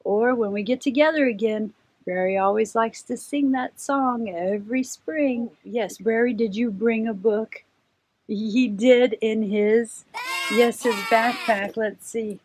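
A woman's voice: a little high, unhurried, darkish tone, no room sound. A middle-aged woman hosting a children's story time, reading aloud expressively and putting simple questions to her young listeners.

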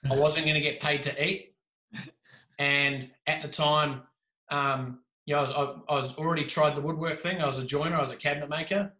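A man in his thirties with a New Zealand accent, telling his story as a podcast guest on a video call, animated and jokey. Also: on the high side, brisk. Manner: animated, gestures a lot, jokey. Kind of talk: casual storytelling. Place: small room indoors. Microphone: video call with desk mic. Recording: echoey room.

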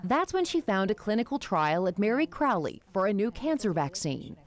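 A woman's voice: medium pitch, very animated, moderate pace. A woman with an American accent narrating a scripted TV news report.

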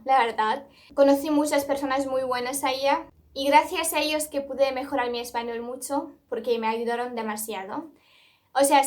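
A young woman from Turkey with a Turkish accent, a native Turkish speaker, talking casually to camera as a vlogger, chatty and earnest with frequent restarts. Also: high-pitched.

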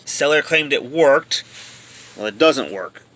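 A man giving casual, plainspoken commentary on a handheld video, thinking aloud in a halting way as a hobbyist showing a repair. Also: medium pitch, expressive, a bit bright. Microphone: handheld phone or camera.

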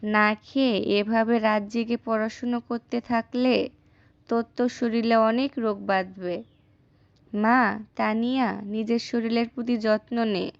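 A woman's voice: on the high side, fairly even intonation, a little slow, dry recording, natural voice. Woman playing a caring, worried village mother, muffled. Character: loving, worried poor village mother, hardworking and self-sacrificing. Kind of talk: acted cartoon dialogue.

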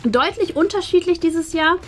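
A woman in her thirties with a high voice hosts a casual unboxing. She chats and explains to her viewers, with faint music playing behind her. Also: animated, moderate pace. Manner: chatty, explanatory. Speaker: host of an unboxing channel. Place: small furnished indoor room. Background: faint background music.

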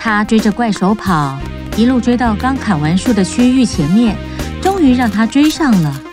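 A woman's voice: on the high side, very animated, moderate pace. A woman storyteller reading a scripted children's tale as narrator. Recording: music bed under narration. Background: music.

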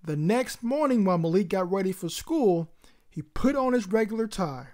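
A woman narrates a children's read-aloud as story time host. Her delivery is expressive, with a lively, wide-ranging pitch. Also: moderate pace.

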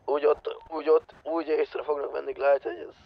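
A man's voice: on the high side, flat delivery, vocal fry. A man chatting casually and swearing during an online game. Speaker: player in a role-play game. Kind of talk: casual gaming voice chat. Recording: muffled voice chat, faint noise.

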